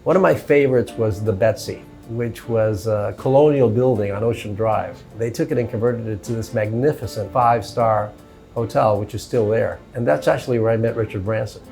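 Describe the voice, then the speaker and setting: A man's voice: fairly low pitch, normal speed, vocal fry, mellow, soft background music. A middle-aged man, a hotel executive born in Cuba and raised in Miami, talking easily through his career in an interview, with "you know" and "uh" along the way. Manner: easygoing, sprinkles "you know" and "uh". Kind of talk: relaxed conversational storytelling.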